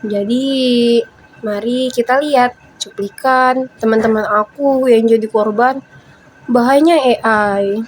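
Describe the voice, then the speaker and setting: Young woman, slightly high voice, casual intro. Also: measured pace, slight background noise, rough recording. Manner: relaxed, casual. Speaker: presenter.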